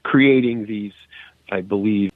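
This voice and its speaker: A man's voice: fairly low pitch, takes it slowly, no echo. A man contributing to a podcast in casual chat, heard through thin, phone-like audio. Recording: thin phone-like radio sound.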